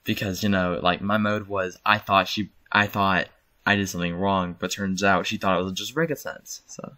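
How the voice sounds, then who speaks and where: A man's voice: sits low, steady tone, moderate pace, sharp tone. A young man casually commenting on his own channel's videos while screen-recording, rambling and correcting himself. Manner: rambling, self-correcting, chuckles. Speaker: channel host.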